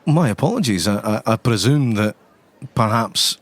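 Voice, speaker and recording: A flustered, lovesick puzzle maker turned estate agent, acted out by a man at a roleplaying game. He stammers and gushes self-consciously, his pitch swinging widely up and down. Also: animated, moderate pace, dry recording. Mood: awkward, wistful. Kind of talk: improvised tabletop roleplay acting.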